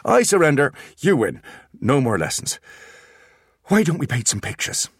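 A man's voice: lowish voice, very animated, normal speed, husky, dry recording, clean studio sound, pitched above the performer's own voice. A man voicing a clever teenage boy patiently lecturing his little brothers.

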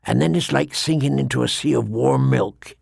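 A man's voice: mid-range voice, normal speed, gravelly, a little mellow, no echo, clean studio sound. A man voicing a grizzled, grim old man-at-arms in an audiobook, with grave, rustic, plain speech. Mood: grim, hushed.

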